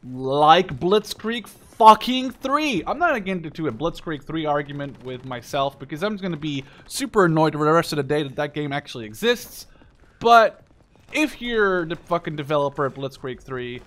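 A man's voice: fairly high pitch, animated, moderate pace, fairly bright tone. A man in his twenties giving casual, rambling gameplay commentary, opinionated and emphatic, with some swearing. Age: 20s. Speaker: gaming video host. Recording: game gunfire and clicks behind voice. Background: video game gunfire, clicks.

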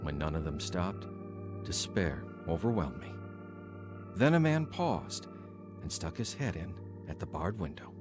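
A man's voice: low-pitched, very animated, unhurried, music in the background. An actor playing a troubled, hot-tempered working man recounting his past in a dramatic radio play. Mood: brooding, regretful. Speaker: narrator and lead actor. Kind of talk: first-person dramatic radio narration and acting.

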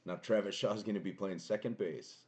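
A young man with a booming voice streaming a card box break from a small home room, chatting casually and greeting viewers by name. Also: fairly even intonation, fairly quick, darkish tone. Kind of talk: casual live-stream chat.

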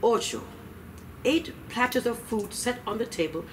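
A woman voicing a polite male skeleton trickster from a storybook, measured and deliberate. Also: fairly high pitch, animated, pitched above the performer's own voice, natural voice. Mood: counting. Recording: distant mic, noisy room ambience.

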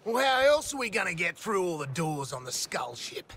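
An actor playing a cocky, crude rogue supervillain in a video game, brash and slangy. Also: male voice, high-pitched, very animated, normal speed, background noise. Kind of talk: video game character acting.

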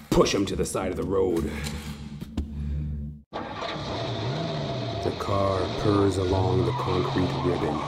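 A man narrating a horror story in a suspenseful, theatrical manner, with short deliberate phrases. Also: lowish voice, vocal fry, noisy background. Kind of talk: dramatic second-person horror story narration. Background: music.

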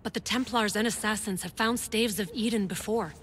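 An actress playing a sceptical, exacting middle-aged doctor in a video game, dry and precise. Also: female voice, medium pitch, fairly quick, husky, close to an everyday voice. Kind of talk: video game cutscene acting.

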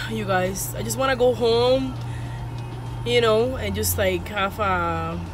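A woman's voice: mid-range voice, takes it slowly, background noise. A woman in her thirties chatting to her phone camera in a casual family vlog, rambling with lots of fillers, first in a kitchen and then in a car. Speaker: family vlogger, mother of three. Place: home kitchen, then inside a parked car. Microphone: phone camera held at arm's length.

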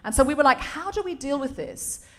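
A woman's voice: sits high, big pitch swings, a little fast, fairly bright tone. A woman in her forties with an English accent, hosting a comedy podcast on a theatre stage in front of a live audience, chatty and self-mocking. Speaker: podcast host.

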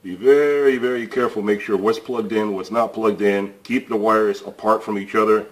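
A man's voice: on the low side, steady tone, normal speed, low-quality recording. A man hosting a casual hands-on repair tutorial, thinking aloud with fillers as he works in an indoor workshop. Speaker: DIY repair channel host. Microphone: camera overhead of workbench, voice off-screen.

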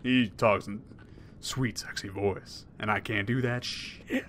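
A man in his thirties commenting on a horror game he plays, rambling and jokey, swinging from mumbles to yells. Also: lowish voice, steady tone, slow pace, slight background noise. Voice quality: hoarse at times. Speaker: gaming YouTuber. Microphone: headset.

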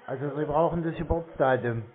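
A man with a high-set voice talks on a phone call. He plays naive with a deadpan delivery. The sound is thin and narrow, like a phone line. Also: a little fast. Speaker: prank call recipient baiting phone scammers. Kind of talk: deadpan phone prank chat.

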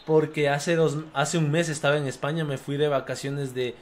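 A young man from Ecuador who speaks with an accent from Spain, chatting casually into a desk mic while he streams a game. He talks in a fairly high voice, reading out chat and cursing at goals. A faint hiss sits behind him. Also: steady tone, moderate pace, darkish tone. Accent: Spanish from Spain, picked up living there. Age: young adult. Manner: chatty, reads chat aloud, swears at goals.

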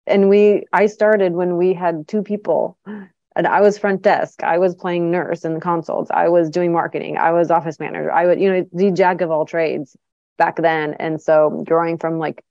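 A woman's voice: lowish voice, flat delivery, normal speed, gravelly, darkish tone, no room sound. A middle-aged woman, a clinic director, answering interview questions in a chatty way with lots of ums and restarts. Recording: dull, dry lo-fi sound.

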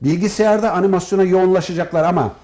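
A man giving formal, measured interview answers as an academic guest, as if from prepared notes. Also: high voice, a little mellow. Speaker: professor and department head, interview guest.

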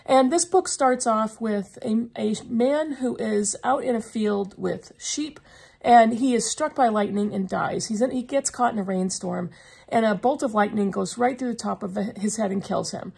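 A woman's voice: a little high, normal speed, gravelly, a little mellow, slightly rough. A middle-aged woman chatting casually to camera as a book vlogger, her voice slightly froggy from a lingering cough. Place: small indoor room lined with bookshelves.

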